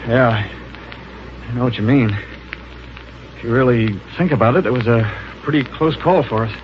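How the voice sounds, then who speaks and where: A muffled, noisy old radio broadcast carries a man acting a confident space hero. He is brisk and authoritative, with an average-pitched voice, in classic 1930s adventure serial style. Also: lightly acted. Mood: reassuring, resolute.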